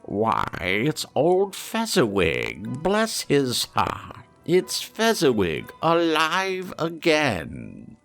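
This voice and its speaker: A man's voice: fairly high pitch, wildly swooping pitch, very slow, creaky, hardly any pauses, a bit bright, faint music behind, voice pushed up, full character voice. A man voicing an elderly male miser who exclaims eagerly over old memories, reading a storybook aloud. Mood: excited.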